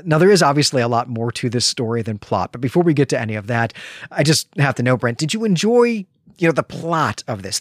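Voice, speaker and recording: A middle-aged man hosting a literary podcast, talking through a story in an emphatic, explanatory way with pauses mid-phrase. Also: mid-range voice, no echo, clean studio sound. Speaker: podcast host. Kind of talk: conversational literary plot summary.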